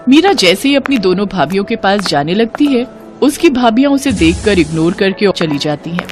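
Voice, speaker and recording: A woman's voice: medium pitch, expressive, normal speed, darkish tone, soft background music. A woman narrating a scripted cartoon family story.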